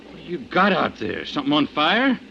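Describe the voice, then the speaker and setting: Rattled policeman, highish forceful voice, folksy talk, muffled old radio drama recording. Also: sing-song, brisk, gravelly, slight background noise, exaggerated voice. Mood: suspicious, insistent. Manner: blunt, folksy, colloquial. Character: dogged, plain-spoken, easily rattled police officer.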